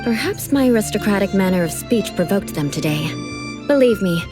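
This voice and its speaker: A woman's voice: medium pitch, expressive, brisk, over music, natural voice. An actress playing a proud young female knight of an aristocratic clan, who speaks in a formal, aristocratic manner. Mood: composed.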